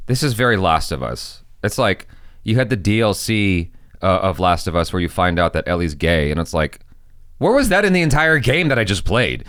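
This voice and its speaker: A man co-hosting a movie reaction chat, animated and teasing, with many restarts. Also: sits low, normal speed, no room sound, studio quality. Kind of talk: casual chat.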